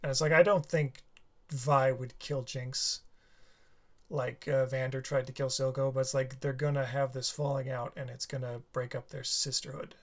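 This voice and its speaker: Casting: male reaction-video host, tired and a little hoarse, thinks aloud, stumbles and restarts, medium pitch. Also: little pitch movement. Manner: halting, many restarts and fillers. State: tired, slightly sore throat. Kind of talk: casual rambling commentary.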